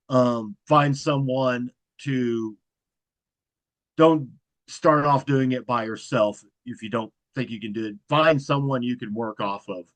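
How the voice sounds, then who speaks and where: A man talks as a podcast guest in an easygoing, level delivery that he calls monotone. His voice sits at a middling pitch. The sound is close and dry, with no room around it. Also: dry recording. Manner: self-described monotone, even delivery. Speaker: guest, horror content creator. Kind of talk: casual interview chat.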